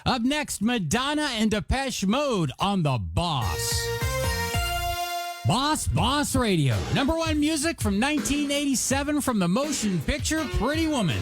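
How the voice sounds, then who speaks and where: Male music-radio host. Showy, highly animated announcer patter, pitched high, over a music bed. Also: unhurried. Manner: showy, swooping announcer delivery.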